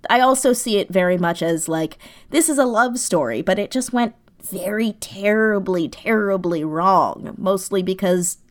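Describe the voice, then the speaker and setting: A woman with a mid-range voice chats as an interview guest. She is animated and self-deprecating, talking in a loose conversational way and readily breaking into laughter. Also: medium pitch, moderate pace. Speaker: interview guest, audio drama writer and performer.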